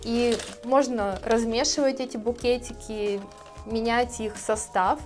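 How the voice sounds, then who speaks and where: A woman in her thirties, a florist, calmly explaining her steps in a tutorial, speaking gently to her viewers. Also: on the high side, unhurried, faint music behind. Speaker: florist teaching a course. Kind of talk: calm tutorial narration. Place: indoor studio with plants. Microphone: lapel mic.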